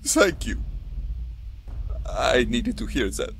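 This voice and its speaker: A woman voicing a proud but vulnerable male master cook in a visual novel, with a theatrical, halting delivery, over music. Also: very deep voice, big pitch swings, vocal fry, pitched below the performer's own voice, full character voice. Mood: moved, grateful. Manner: slow, halting, grandiose delivery. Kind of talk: visual novel character dialogue. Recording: music behind the voice.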